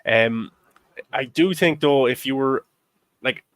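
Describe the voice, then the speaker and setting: A man's voice: mid-range voice, slow, a bit bright, clean tone, dry recording. A young man in his twenties hosts a loose chat about movies, talking in a middle register with plenty of ums and restarts. His voice sits close and dry, with no room sound around it. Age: 20s. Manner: rambling, filler-heavy, laughs often. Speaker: host. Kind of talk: casual movie discussion.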